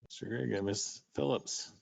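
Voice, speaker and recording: A man's voice: on the low side, normal speed, creaky, a little mellow, husky. A man chairing a committee meeting, calling a roll vote in brief, procedural lines.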